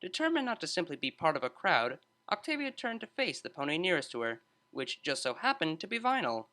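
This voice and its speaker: A man's voice: a little high, big pitch swings, fairly quick, sharp tone. A man reading a scripted story aloud as an audiobook narrator.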